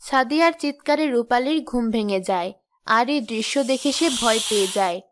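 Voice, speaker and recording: A woman's voice: on the high side, lively intonation, brisk, background noise. A woman reading scripted story narration as the storyteller of a folk tale.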